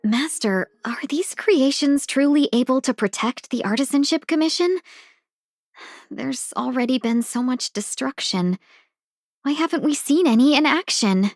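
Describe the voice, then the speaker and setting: An actress playing a polite, gentle young female guide in a video game, soft-spoken and formal. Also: sits high, animated, unhurried, dry recording, clean studio sound, soft background music, full character voice. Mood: worried.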